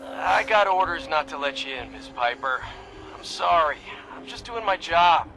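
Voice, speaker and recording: A man's voice: on the high side, animated, moderate pace, gravelly, bright, forceful tone, faint music behind, full character voice, poor audio. A man voicing a meek, apologetic gate guard in a video game, flustered but dutiful and a little folksy.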